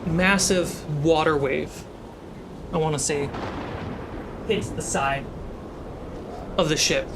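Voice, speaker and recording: Guy game master narrating a fantasy session, voice a bit high, noticeable background noise. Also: slow pace. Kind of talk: narrating a tabletop fantasy game among friends. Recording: clear background noise and ambience.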